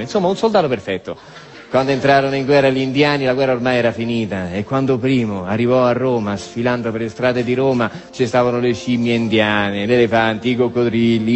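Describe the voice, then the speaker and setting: A man with a Roman accent performing a colloquial theatrical monologue about war, rambling and repetitive, tossing in tag questions. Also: mid-range voice. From Rome, Italy. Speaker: monologue storyteller, stage actor.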